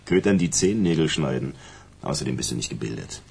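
An actor playing a gruff, impatient small-time gangster in a radio drama, mocking and curt, with a husky, gravelly voice. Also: male voice, a bass voice, lively intonation, fast talker, darkish tone, heavily acted. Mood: contemptuous. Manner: curt, sneering.

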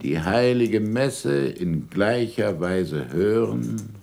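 An actor playing an older, sardonic and imperious scholar in a German radio drama, dictating deliberately with irony and curt commands. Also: male voice, fairly low pitch, lively intonation, vocal fry, darkish tone, heavily acted. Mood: dictating, ironic.

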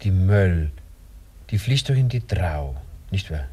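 A homesick village blacksmith in a radio drama. His voice is fairly low and husky. He speaks simply and emphatically, with a wistful insistence. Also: expressive, slow pace, darkish tone, exaggerated voice. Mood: wistful, eager.

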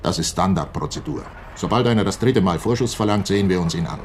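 Deep-voiced, defensive company security chief played by a man. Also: fast talker, slight background noise, lightly acted. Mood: matter-of-fact. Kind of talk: radio play acting.

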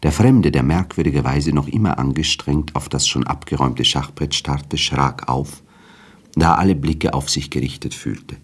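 A man narrating a literary radio drama in a measured, formal storytelling manner. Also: extremely low pitch, breathy edge.

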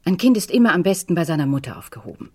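A woman's voice: fairly low pitch, expressive, very fast, a little mellow, breathy edge. An actress playing a firm, possessive mother in a radio drama, speaking in an insistent, controlled manner. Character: firm, possessive mother pleading with her resistant daughter. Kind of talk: German radio crime drama acting.

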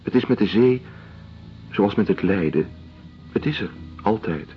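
A man playing a reflective, compassionate doctor in a Dutch radio drama, speaking in measured, philosophical phrases. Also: fairly low pitch, lively intonation. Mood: reflective, solemn. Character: reflective, compassionate, morally indignant male doctor traveling through Russia. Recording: dull lo-fi radio recording, music behind.

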